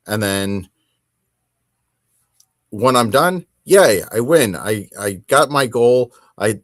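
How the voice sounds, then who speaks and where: A man's voice: mid-range voice, no echo, studio quality. A man hosting a casual solo hobby talk, conversational and emphatic, often correcting himself as he goes. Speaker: podcast host.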